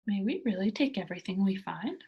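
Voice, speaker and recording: A woman voicing a gentle, sensible little girl from a children's storybook, with an earnest, questioning manner. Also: medium pitch, lively intonation, fairly quick, muffled recording, lightly acted. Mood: wondering. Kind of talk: children's storybook character read aloud.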